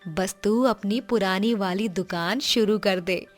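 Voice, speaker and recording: A woman playing a gentle, reassuring mother's spirit in a cartoon moral story, speaking tenderly and soothingly. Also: medium pitch, unhurried, soft background music, lightly acted. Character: gentle, reassuring dead mother appearing to her daughter.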